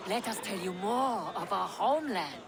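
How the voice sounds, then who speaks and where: An actress playing a hospitable, playful giantess hostess in a Norse video game, stately, like a storyteller. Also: female voice, medium pitch, lively intonation, moderate pace, crowd noise, natural voice. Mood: storytelling. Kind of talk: video game cutscene dialogue. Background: music.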